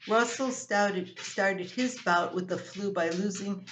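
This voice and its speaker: An older woman reading a scripted, humorous family column aloud as its writer, in an expressive storytelling manner. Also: medium pitch, slow, slight background noise, low-quality recording. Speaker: columnist reading own writing.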